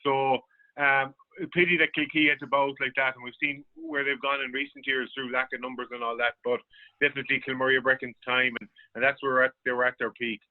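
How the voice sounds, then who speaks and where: A man's voice: mid-range voice, flat delivery, fast. Phone-line Irish man talking sport. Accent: Irish, County Clare. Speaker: sports journalist, panel guest. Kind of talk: sports discussion on radio. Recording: phone-like, narrow-band sound.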